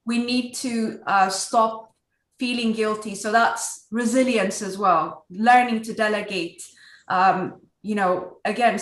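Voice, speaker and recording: A woman's voice: mid-range voice, a bit bright. A woman from Ethiopia speaking earnestly as a guest on a virtual panel, with a strong voice. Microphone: video call. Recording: echoey room over a video call.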